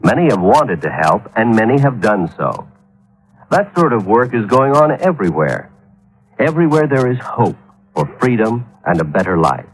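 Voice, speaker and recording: Man announcing a public appeal, earnest, husky tone, lively inflection. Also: fairly low pitch, rough recording. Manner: earnest, measured. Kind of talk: scripted public service announcement.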